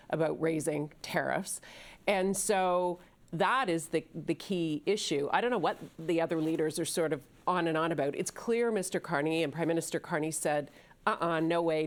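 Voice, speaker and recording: A woman giving emphatic, opinionated political commentary as a panel strategist. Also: low voice.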